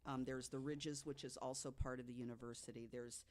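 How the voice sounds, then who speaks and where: Podcast co-host, mid-pitched, deliberate delivery from notes, conversational with emphatic asides. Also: flat delivery, darkish tone. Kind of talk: storytelling from notes to co-hosts.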